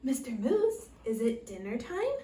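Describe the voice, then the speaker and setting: A woman voicing an eager, excitable male rabbit in a storybook, recorded in an echoey room. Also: sits high, measured pace. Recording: echoey room, distant mic, faint noise.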